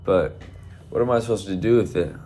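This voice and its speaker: A man's voice: fairly low pitch, fairly quick, warm tone, a little hiss or hum, lightly acted. A man doing a blustering rooster seeker. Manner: bold, exclaims "by God". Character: blustering male rooster on a spiritual quest.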